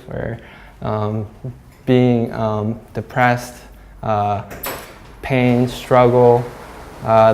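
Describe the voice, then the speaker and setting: A young Japanese man from Hong Kong, a glass artist, giving a halting talk about his work in a gallery, with many fillers and restarts. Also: mid-range voice, gravelly, darkish tone, a little hiss or hum.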